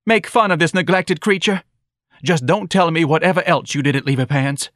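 A man's voice: a little high, animated, measured pace, dry recording, clean studio sound, pitched above the performer's own voice. A man voicing a self-righteous, meddling wife who scolds and pleads theatrically, in an audiobook story. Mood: martyred outrage.

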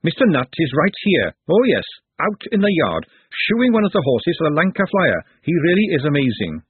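A man's voice: on the high side, wildly swooping pitch, normal speed, slightly rough, dry recording, voice pushed up, heavily acted. A man voicing a blunt, protective, fiery woman cook in an audiobook, plain-spoken and earnest. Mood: hotly indignant. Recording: narrow phone-like sound, very dry.

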